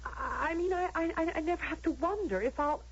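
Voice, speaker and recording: An actress playing an anguished, restless young rebel in a radio drama, halting and repeating words with impassioned feeling. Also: female voice, very high-pitched. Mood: anguished, searching. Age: young adult. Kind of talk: old-time radio drama acting. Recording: dull lo-fi radio drama recording.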